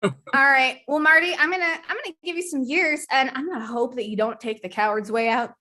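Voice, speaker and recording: Bright, high-voiced giggly woman host. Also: brisk. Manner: chatty, giggly, self-deprecating. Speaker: guest host of a trivia game show. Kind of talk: casual game show hosting over a video call. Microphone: video call.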